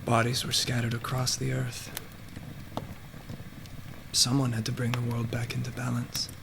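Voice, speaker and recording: Casting: man as weary underworld god for audio drama, monotone and slow, terse, brooding; noisy recording. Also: mid-range voice, creaky. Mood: sombre. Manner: terse, subdued. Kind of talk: radio play acting.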